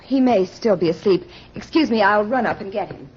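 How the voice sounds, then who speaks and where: Uneasy young woman, 1940s radio drama, guarded, hesitant, breathy husky tone, dull vintage recording. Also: mid-range voice, lively intonation, brisk, a little mellow. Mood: polite. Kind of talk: 1940s radio mystery acting.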